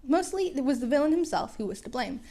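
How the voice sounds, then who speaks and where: A woman narrates a story in a slightly high voice. Her delivery is casual and homemade, with playful, wry asides and the odd stumble over the words. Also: lively intonation, fast, dark tone. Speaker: podfic reader.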